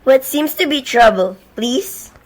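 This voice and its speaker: A woman acting in an amateur suspense radio play as a polite, unflappable telephone operator with a formal, measured manner. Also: high voice, animated, rough recording. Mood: patient, formal.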